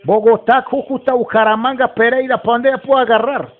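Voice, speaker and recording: An old mountain man from rural Colombia, rambles in an extremely high voice. He is folksy, agitated and foul-mouthed, repeating himself as he goes. The prank-call audio is muffled and clearly noisy. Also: steady tone, brisk. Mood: insistent. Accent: Colombian, Antioquia. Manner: folksy, rambling, swearing, repetitive. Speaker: prank caller. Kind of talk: prank phone call, improvised character. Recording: muffled, very noisy phone recording with clicks.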